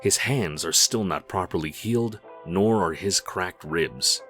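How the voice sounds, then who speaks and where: A man narrating a comic book script in a dramatic, deliberate storytelling manner. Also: low voice, a bit bright, soft background music.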